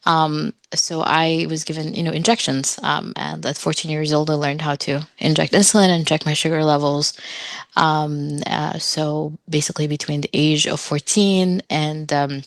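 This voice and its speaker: A woman's voice: sits low, steady tone, normal speed, no room sound. A woman in her thirties, from Sudan and raised in Oman, answering as a podcast guest in a casual, hesitant way with many fillers. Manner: hesitant, many ums and uhs.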